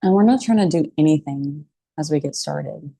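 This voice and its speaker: A woman teaching a guided meditation in a calm, soothing, unhurried way with pauses between phrases. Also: low-pitched, vocal fry, no echo.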